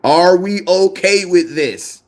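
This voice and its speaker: A young man hosting a casual fan show, talking in slang and repeating himself when excited. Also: high voice, slow pace. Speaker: sports fan show host. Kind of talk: casual live-stream chat.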